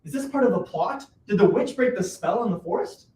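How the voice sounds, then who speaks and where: A woman's voice: mid-range voice, animated, brisk, warm tone, lightly acted. A woman acting a doubtful, reluctant male hero with a dry, questioning manner in a live reading of a comic play. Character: skeptical, reluctant male hero turned steel magnate. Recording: distant, echoey room recording with hiss.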